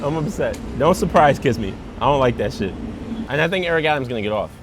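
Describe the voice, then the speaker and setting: A man's voice: mid-range voice, lively intonation, fast, background noise. A male comedian hosting a casual street chat, trading crude, slang-heavy jokes. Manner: crude joking banter with slang. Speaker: comedian host. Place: outdoors on a city street.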